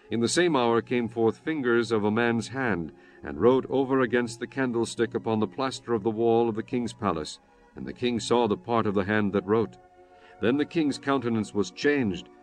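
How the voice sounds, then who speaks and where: A man narrating a dramatized scripture reading in a solemn, measured manner. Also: lowish voice.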